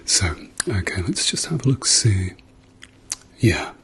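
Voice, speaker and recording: A man plays a reassuring doctor in an ASMR roleplay, speaking softly and often slipping into whispers. His voice is on the low side and unhurried, with a gentle, dryly playful manner. There is clear background noise on the recording. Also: very animated. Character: calm, reassuring male doctor treating a patient.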